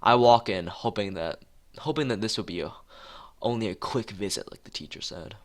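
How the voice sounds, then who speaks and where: A streamer reading a visual novel aloud in a halting way, stumbling and going back over phrases, with asides to chat. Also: deep voice. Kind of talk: live read-aloud narration with chat asides. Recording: music playing behind the voice.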